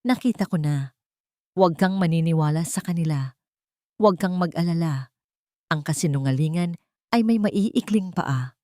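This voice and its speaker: A steady, reassuring male confidant as a woman storyteller puts him on offers comfort in an audio romance. The recording is dry and close. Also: lowish voice, moderate pace, pauses often, no echo, close to an everyday voice. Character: reassuring man, a loyal confidant on the phone.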